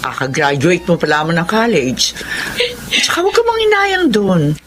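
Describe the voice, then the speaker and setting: A woman's voice: lowish voice, wildly swooping pitch, normal speed, a little hiss or hum. An actress playing a caring middle-aged mother who soothes and encourages her daughter. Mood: comforting. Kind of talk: Filipino radio drama acting.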